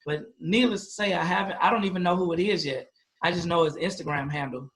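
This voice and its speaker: High-voiced man hosting a casual interview. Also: fast, a bit bright, poor audio. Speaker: interviewer, school athletics host.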